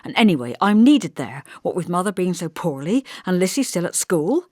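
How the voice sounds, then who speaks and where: A woman's voice: lowish voice, very animated, normal speed, darkish tone, dry recording, studio quality. A woman voicing an earnest, prickly young woman in audiobook dialogue, indignant, defensive and emphatic. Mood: exasperated.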